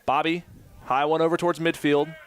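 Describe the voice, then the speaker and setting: A man's voice: fairly high pitch, clear, resonant tone. A man in his early twenties doing live play-by-play commentary for a college soccer match from an outdoor stadium. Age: 20s. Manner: rapid play-by-play that climbs to excited shouting.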